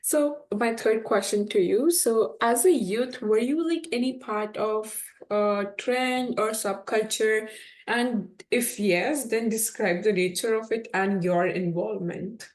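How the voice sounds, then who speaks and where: Woman interviewer, friendly and conversational, slow delivery. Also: a little high. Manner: friendly, chatty, frequent affirmations. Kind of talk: casual interview questions.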